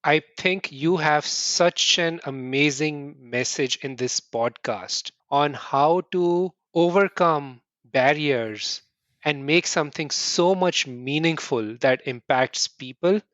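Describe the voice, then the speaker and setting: A middle-aged man from India, answering interview questions earnestly in quick bursts and often restarting with "right?". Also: fairly high pitch, a bit bright, dry recording, dull audio. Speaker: podcast guest.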